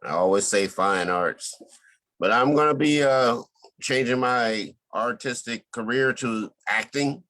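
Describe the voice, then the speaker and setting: A man giving casual interview answers as an artist and actor, earnest and a little halting, repeating words. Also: mid-range voice, a bit bright.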